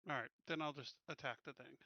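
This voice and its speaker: A man's voice: medium pitch, steady tone, fast talker, gravelly, pushed and bright, no room sound, dull audio. A man chatting casually as a player in a tabletop roleplaying game.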